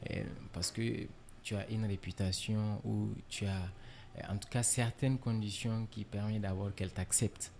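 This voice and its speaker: A man from Niger, a musician guest in a casual interview, relaxed and filler-heavy, dropping in English words. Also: lowish voice, normal speed, slight background noise.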